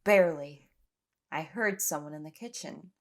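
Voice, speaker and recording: A woman's voice: low-pitched, moderate pace. A woman acting in an audio drama as an anxious, exhausted young woman trapped on an island, speaking with emotional intensity. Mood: tense, recounting. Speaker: lead actor in an audio drama. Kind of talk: audio drama acting, first-person recollection and dialogue.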